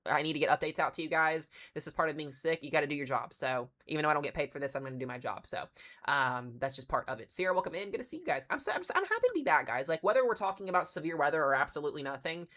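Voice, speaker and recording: Fast, bright-voiced congested man. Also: a little high. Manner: excitable, chatty, filler-heavy. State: congested, sick with strep throat. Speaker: weather livestream host. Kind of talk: casual live weather briefing.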